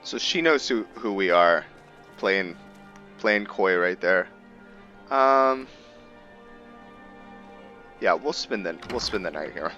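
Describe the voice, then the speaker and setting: A man giving casual gameplay commentary, thinking aloud with halting, hesitant pauses. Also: sits low, animated, bright, forceful tone, highs cut off, faint music behind. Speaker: gamer host of a roleplay series.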